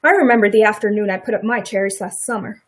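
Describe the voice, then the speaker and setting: A woman in a radio play acting a middle-aged farm wife who is sympathetic, quietly indignant and plain-spoken in a folksy rural way. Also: mid-range voice, fast talker, lightly acted. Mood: wistful.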